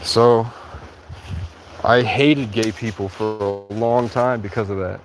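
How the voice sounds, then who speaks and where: A sleep-deprived man livestreaming outdoors in a rural area, rambling in a halting way with many restarts. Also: lowish voice, steady tone, music in the background, rough recording. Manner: halting, restarts often, heavy use of "like". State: sleep-deprived after hours of talking. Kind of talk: rambling casual monologue.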